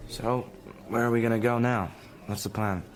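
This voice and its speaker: A man's voice: medium pitch, fairly even intonation, moderate pace, lightly acted. An actor playing a naive, lovesick sixteen-year-old boy in a radio drama, speaking hesitantly and earnestly. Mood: wistful.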